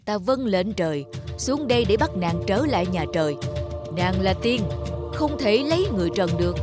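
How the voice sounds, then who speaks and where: A woman voicing a stern, threatening male thunder god in an animated fairy tale. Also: mid-range voice, moderate pace, close to an everyday voice. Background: prominent music.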